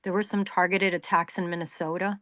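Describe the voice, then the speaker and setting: A woman's voice: fairly low pitch, little pitch movement, fairly quick, sharp tone, no room sound, studio quality. A woman from the Dominican Republic chatting casually in a group, hedging and hesitant as she goes.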